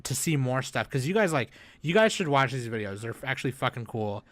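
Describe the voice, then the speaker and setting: A woman's voice: rumbling low, big pitch swings, a little fast, crowd noise. A woman hosting a podcast in casual chat, joking around with plenty of swearing and slang. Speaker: podcast host.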